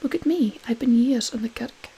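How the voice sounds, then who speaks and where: A woman voicing a sly devil posing as a polite gentleman minister in a poem, softly and whispery. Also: on the high side, moderate pace, slightly rough, rough recording. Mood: boastful, sly. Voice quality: soft, whispery. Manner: polite, pleasant, insinuating. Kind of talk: storybook-style dialect poem reading.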